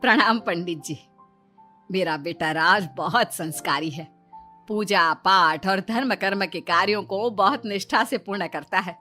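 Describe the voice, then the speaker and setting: A woman's voice: medium pitch, unhurried, pushed and bright, faint music behind. A woman voicing a devout, eager and demanding mother in a moral story, speaking emphatically. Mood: respectful, eager. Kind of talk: animated moral-story dialogue.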